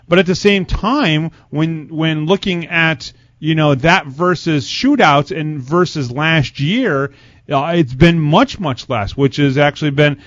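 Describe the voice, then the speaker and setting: A man hosting a sports talk show, interviewing a guest in a conversational way. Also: medium pitch. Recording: muffled, roomy sound.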